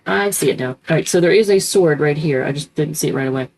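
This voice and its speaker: A woman's voice: low-pitched, fast, darkish tone, a little hiss or hum. A woman with a congested voice from a cold, chatting loosely and rambling as she reads tarot cards. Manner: rambling, chatty, self-correcting with many ums. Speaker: tarot reader. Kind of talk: casual tarot reading.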